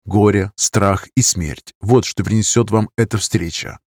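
A man's voice: lowish voice, unhurried, darkish tone, no echo, clean studio sound. A man reading scripted, dramatic narration as an audiobook narrator.

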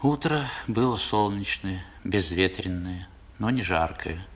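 A man acting as the narrator of a 1960s radio drama, recalling his boyhood in measured, expressive literary prose. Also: low voice, husky, heavily acted. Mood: reflective. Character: grown male narrator recalling his seaside boyhood adventure.

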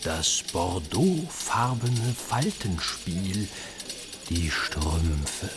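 Intense, very slow-spoken friend. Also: male voice, sits low, very animated, noisy background, exaggerated voice. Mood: admiring. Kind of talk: radio play acting.

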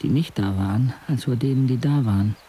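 A man's voice: mid-range voice, fairly quick, warm tone, husky, close to an everyday voice. An actor playing a brooding, disillusioned young man who narrates his life in an introspective, sardonic way for a radio drama. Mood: searching, disdainful. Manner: introspective, sardonic, literary phrasing.

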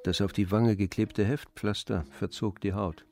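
A man narrating a literary radio drama in a measured, composed storytelling manner. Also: fairly low pitch, fairly even intonation, a little mellow, faint music behind, close to an everyday voice. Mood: sober.

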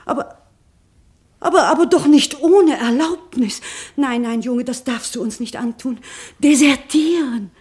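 Worried mom in a radio play, highish husky voice, begging and fretting. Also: female voice, measured pace, a little mellow. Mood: pleading. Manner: pleading, anxious. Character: worried middle-aged mother, pleading and anxious. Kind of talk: 1950s-style radio drama acting.

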